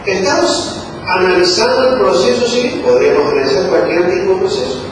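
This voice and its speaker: A man's voice: high voice, expressive, fairly quick. A man with an Argentine accent gives a public conference lecture on law, speaking rhetorically and voicing imagined exchanges. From Buenos Aires, Argentina. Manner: rhetorical questions and acted-out quoted exchanges. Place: conference hall. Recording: echoey hall from far off, noisy.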